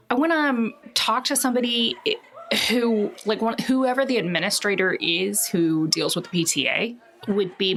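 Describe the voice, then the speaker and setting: A woman's voice: mid-range voice, fairly bright tone. A woman playing a tabletop game, chatting casually and loosely thinking her plan aloud.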